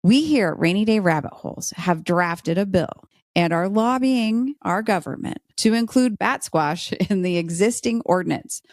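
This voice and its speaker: A woman's voice: mid-range voice, lively intonation, normal speed, no room sound, clean studio sound. A woman podcaster appearing as a guest, playfully arguing her case and chatting casually. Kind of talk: playful debate argument and casual chat.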